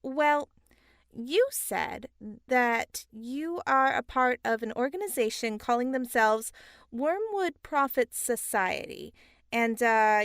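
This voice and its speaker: A blunt, doubting female reporter, played by an actress, in a radio play. Her voice is high and very animated, with slow, measured pacing. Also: smooth, dry recording, studio quality, heavily acted. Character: skeptical, blunt woman newspaper reporter. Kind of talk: audio drama acting.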